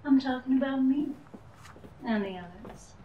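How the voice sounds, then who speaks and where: A woman's voice: high voice, normal speed, dull audio, natural voice. Woman as a sly femme fatale, roomy sound. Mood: sardonic. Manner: teasing, cool, sardonic. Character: sly, unflappable noir femme fatale, teasing and evasive. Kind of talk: noir radio drama acting. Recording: roomy, distant mic, faint noise.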